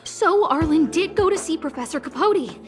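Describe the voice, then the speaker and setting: A woman's voice: very high voice, expressive, normal speed, over music. A woman playing a young investigator in a video game, musing aloud to herself expressively. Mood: curious, disdainful.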